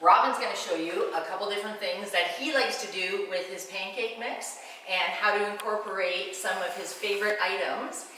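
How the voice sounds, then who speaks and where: A middle-aged woman chef talks through a cooking demo in a bright, forward voice that is chatty and playful. The sound comes from a distance in a big kitchen with heavy echo. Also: mid-range voice, normal speed, slight background noise. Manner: chatty, self-deprecating, playful. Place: large home kitchen, indoors. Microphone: camera mic from a distance. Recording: echoey room, voice from far off.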